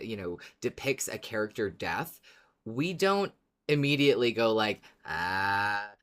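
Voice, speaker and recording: Expressive podcast co-host, medium-pitched voice. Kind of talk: casual fan podcast chat.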